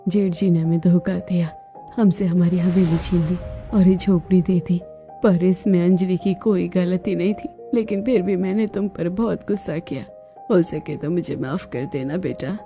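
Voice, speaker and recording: A woman voicing a weary, remorseful older mother-in-law in a cartoon story, speaking softly, slowly and earnestly. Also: lowish voice, fairly even intonation, soft background music, close to an everyday voice. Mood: apologetic, affectionate. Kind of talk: Hindi cartoon story acting.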